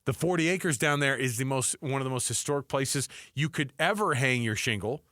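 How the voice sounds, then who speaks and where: A man with a medium-pitched voice talks sports as a radio co-host. His delivery is casual and animated, often slipping into imagined quotes. The sound is very dry, with little room. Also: fairly quick, dry recording.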